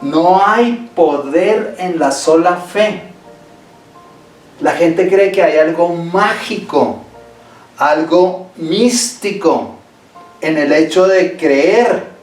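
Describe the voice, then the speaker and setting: A man's voice: a little high, extremely slow, faint music behind. A male pastor preaching a Sunday sermon in a church, emphatic and repeating key phrases for stress. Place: church sanctuary. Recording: echoey hall, voice from far off.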